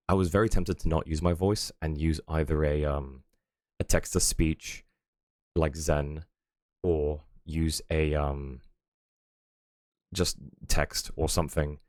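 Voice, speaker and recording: Deep-voiced man rambling on stream. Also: darkish tone, dry recording, studio quality. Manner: rambling, lots of fillers and chuckles. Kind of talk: casual stream chat.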